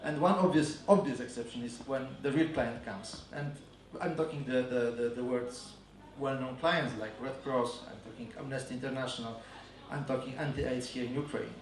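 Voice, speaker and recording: Polish guy giving a talk, lots of uhs and restarts, sounds far from the mic in an echoey room. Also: mid-range voice. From Poland. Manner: hesitant, halting, frequent fillers and restarts. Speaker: advertising agency speaker. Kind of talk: conference talk.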